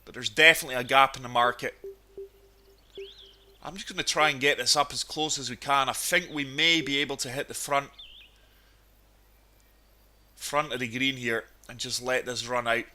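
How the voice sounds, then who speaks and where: Man, cold, sniffly, very bright voice, enthusiastic, gameplay commentary. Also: medium pitch, steady tone, normal speed, pauses often. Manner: chatty, enthusiastic golf play-by-play. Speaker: gaming channel host.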